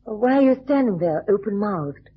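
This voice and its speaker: An actress playing a plucky, sardonic detective's sidekick with a dry, quipping manner, in old-time radio style. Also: female voice, mid-range voice, expressive, moderate pace, husky. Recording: dull, noisy vintage radio recording with hum.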